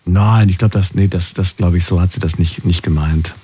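A man hosting a call-in conversation, husky, probing and paraphrasing in a casual way. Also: deep voice, steady tone, moderate pace, dark tone. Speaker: call-in show host.

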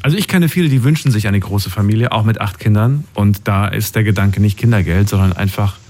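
Guy hosting a phone-in show, lowish voice, casual and chatty, asks lots of questions. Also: a little fast, a little mellow, slight background noise. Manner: questioning, informal, conversational. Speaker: radio call-in show host. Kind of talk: casual live talk with callers.

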